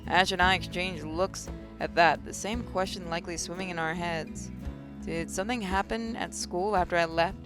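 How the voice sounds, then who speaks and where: A woman hosting a gaming channel, reading visual novel narration aloud as expressive first-person storytelling. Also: on the low side, normal speed, over music.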